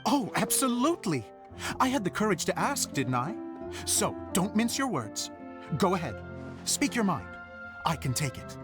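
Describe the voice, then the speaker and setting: A man playing an earnest, good-natured young theater director in a video game, with an open, expressive manner. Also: sits high, moderate pace. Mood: eager. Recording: music behind the voice.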